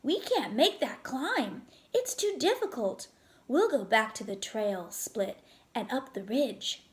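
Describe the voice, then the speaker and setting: A woman's voice: on the high side, very animated, slow. Woman reading as a firm, cautious climber dad. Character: cautious, firm father, mountain climbing school leader. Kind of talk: children's storybook read-aloud.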